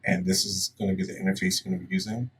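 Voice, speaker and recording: A man with a low voice walks through software steps like a casual tutorial presenter. He is plain-spoken and instructional. He sounds a little away from the mic, with faint noise behind him. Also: big pitch swings, very fast, creaky, far from the mic.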